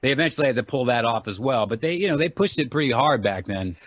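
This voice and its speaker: A man's voice: medium pitch, lively intonation, fast talker, no echo. A middle-aged man chatting casually as an interview guest, talkative and rambling as he explains things. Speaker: interview guest, actor and martial artist.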